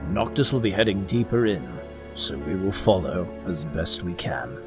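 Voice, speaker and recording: A man playing a composed, dutiful royal adviser in an audio drama, speaking formally and with measured care. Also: sits low, animated. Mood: firm, decisive. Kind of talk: fan audio drama acting. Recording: music bed under the voice.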